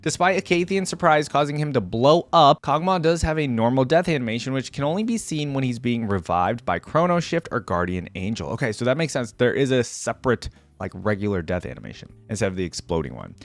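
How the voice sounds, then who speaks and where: A man's voice: medium pitch, lively intonation, a little fast, few pauses. A young man hosting a casual reaction video, reading a wiki aloud and stopping to react and chuckle. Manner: chatty and musing, breaks off reading to react, chuckles.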